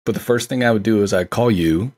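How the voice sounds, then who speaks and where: A man's voice: lowish voice, fast, a little mellow. A man in casual film discussion as a podcast guest, talking in an enthusiastic, conversational way.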